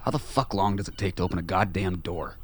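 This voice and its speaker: An actor playing a gruff, paranoid old ex-soldier in a radio play, cursing and belligerent. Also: male voice, low-pitched, fast talker. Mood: irritable, suspicious. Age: older. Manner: profane, suspicious, belligerent.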